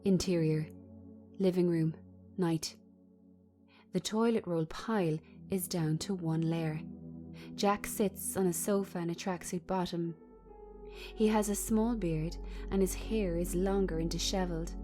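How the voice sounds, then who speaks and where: A woman's voice: lowish voice, fairly even intonation, measured pace, over music. A woman narrating a script, reading out stage directions in short present-tense sentences.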